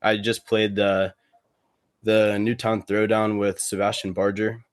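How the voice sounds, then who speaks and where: A man chatting casually as a podcast guest, rambling and halting, with plenty of slang. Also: on the low side, flat delivery, dry recording. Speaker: guest, competitive cornhole player.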